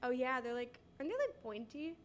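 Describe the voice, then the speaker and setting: A woman's voice: sits high, a little fast, a little hiss or hum. A young woman co-hosting a podcast, stuffy from a cold, chatting in a giggly, rambling way full of "like". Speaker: podcast co-host. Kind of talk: casual chat.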